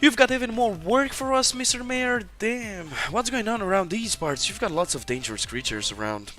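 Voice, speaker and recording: Bright-voiced man playing live. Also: sits high, lively intonation, normal speed, soft background music. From Romania. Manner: narrates his actions, many fillers. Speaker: game developer streaming gameplay. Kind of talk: live gameplay commentary.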